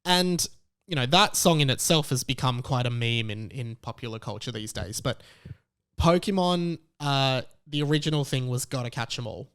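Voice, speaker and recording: A man in his thirties hosting a podcast, giving casual solo commentary in a chatty, animated storytelling way. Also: mid-range voice, moderate pace, smooth. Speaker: podcast host.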